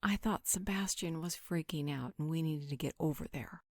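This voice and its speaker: A woman's voice: deep voice, normal speed, dry recording. A woman playing a blunt, flippant supernatural partner in a scripted roleplay audio drama, dry and offhand.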